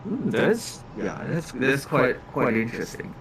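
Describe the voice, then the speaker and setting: A man of average pitch chats casually as a livestream co-host. He speaks haltingly, circling back and repeating words before finishing a thought. There is light noise in the recording. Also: very animated, fairly bright tone. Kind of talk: casual chat. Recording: faint background noise.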